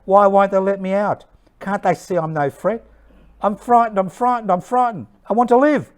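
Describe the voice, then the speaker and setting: A man's voice: high voice, moderate pace, pitched above the performer's own voice, natural voice. A man playing a frightened internment camp prisoner in a stage monologue, pleading urgently and repetitively.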